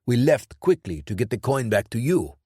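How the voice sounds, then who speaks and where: A man's voice: medium pitch, sing-song, moderate pace, dark tone, breathy edge, dry recording, studio quality, pitched above the performer's own voice. A man voicing a nervous, blustering male hired killer who stumbles defensively through his explanations.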